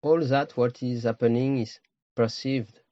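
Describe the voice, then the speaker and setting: Low-voiced man guiding a meditation. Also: fairly even intonation, mellow, no echo, dull audio. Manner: slow, pausing, short phrases. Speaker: meditation teacher. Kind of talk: guided meditation.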